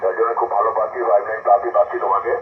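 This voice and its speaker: A man in a casual, hesitant ham radio exchange, pausing often as he talks. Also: fairly low pitch, level tone. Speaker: amateur radio operator. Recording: noisy, narrow-band shortwave radio link.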